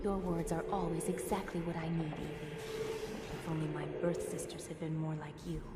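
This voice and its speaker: A woman playing an anxious, devoted young witch in a dark game, earnest and formal, as if confiding. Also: deep voice, steady tone, moderate pace, echoey room, in a large hall, heavy background noise, lightly acted. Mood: hushed, sheepish. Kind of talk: dramatic game voice acting.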